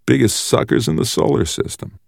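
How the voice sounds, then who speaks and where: A man's voice: mid-range voice, lively intonation, brisk, creaky, no echo, clean studio sound, pitched above the performer's own voice. A man voicing a cruel, mocking older brother, a menacing and theatrical boy bully in an audiobook. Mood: gleeful mockery. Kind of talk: audiobook character voice.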